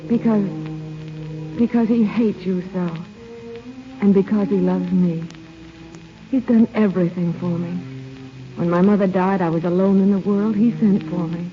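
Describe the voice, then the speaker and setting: An actress playing a gentle, anxious young woman in love in an old radio drama, speaking tenderly and hesitantly. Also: medium pitch, fairly even intonation, unhurried, long pauses, soft background music, close to an everyday voice. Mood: tender pleading. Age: young adult. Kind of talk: 1930s-40s radio drama acting. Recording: dull old radio broadcast.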